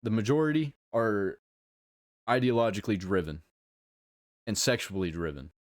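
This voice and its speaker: A man giving casual reaction commentary in a blunt, emphatic way, speaking in short, halting phrases. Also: on the low side, very animated, no room sound, studio quality. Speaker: reaction video host.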